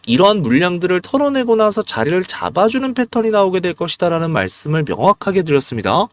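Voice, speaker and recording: Hoarse, animated male stock analyst. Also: sits high, no room sound. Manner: emphatic, rhetorical questions to viewers. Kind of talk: stock chart analysis talk.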